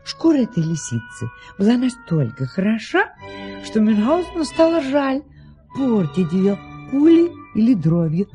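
A poised duchess in a radio play. Her voice is mellow and lively in pitch. She tells tall tales with stately, genteel grace. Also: unhurried, faint music behind. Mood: poised, savouring. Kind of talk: audio play storytelling.

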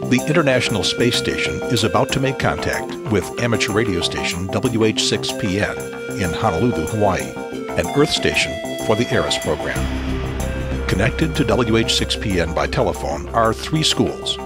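A man's voice: lowish voice, animated, moderate pace. A man reading scripted news narration as a video narrator. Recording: loud music bed. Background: music.

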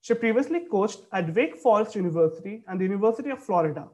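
A man's voice: high-pitched, lively intonation, fast talker, a little mellow, highs cut off. Young guy moderating a debate over a video call, formal, reads it all off a script. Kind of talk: scripted announcements. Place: indoor room, video call.

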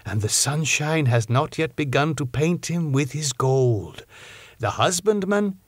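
A man voicing a grave, kindly old doctor in an audiobook, speaking slowly in quaint broken grammar. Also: on the high side, lively intonation, no echo, pitched above the performer's own voice. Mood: grave, counselling. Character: old Dutch doctor, grave, kindly, teacherly, parable-loving.

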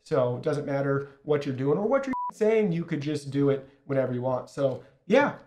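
A man's voice: mid-range voice, sing-song, normal speed, very noisy. A man in his thirties giving a casual, enthusiastic product review to camera, addressing viewers directly. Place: small plain indoor studio, seated at a desk.

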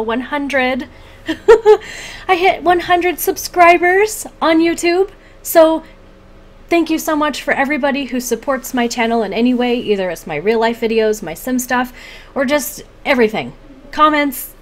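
Chatty, higher-voiced woman vlogging at home. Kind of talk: casual chat to camera. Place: small home room, indoors.

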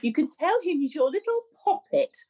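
A woman's voice: high-pitched, big pitch swings, moderate pace, mellow. A woman playing a chirpy, eager village girl in an amateur radio pantomime.

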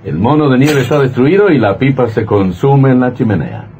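An animated, protective husband. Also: male voice, medium pitch, normal speed, lo-fi sound, slight background noise. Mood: reassuring. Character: protective husband, an alienist, affectionate and soothing. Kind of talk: vintage radio drama acting.

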